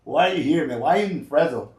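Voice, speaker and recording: A man hosting a casual interview show, energetic and loose, often ending lines with "man" and "right?". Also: a little high, quick pace, reverberant. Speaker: podcast host.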